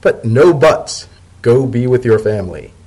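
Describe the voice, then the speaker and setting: A man's voice: medium pitch, animated, measured pace, darkish tone, slightly rough. A man voicing a dignified, dutiful male pony official in a story reading, dry, firm and understated. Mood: insistent.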